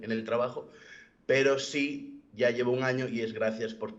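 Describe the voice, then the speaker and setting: A young man with a fairly low voice gives a personal account over an online call. He speaks haltingly, often repeating a word before rephrasing. The audio is somewhat dull and lo-fi. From born in the United States, living in Galicia, Spain. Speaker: event participant giving a personal testimony. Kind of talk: personal testimony in an online interview. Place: video call.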